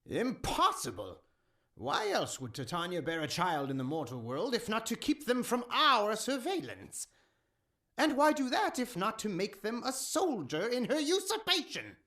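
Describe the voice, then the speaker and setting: Accusatory female fantasy councillor acted by a woman, mid-pitched, formal, highly animated delivery. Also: normal speed. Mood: suspicious, vehement. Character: suspicious, vehement fey council member. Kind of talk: fantasy audio drama acting.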